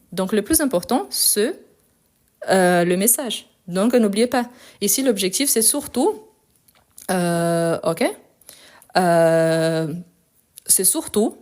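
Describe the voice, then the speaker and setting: A woman's voice: mid-range voice, darkish tone. Chatty woman teaching French live. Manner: chatty, many fillers and restarts. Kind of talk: live online lesson, answering comments. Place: in France.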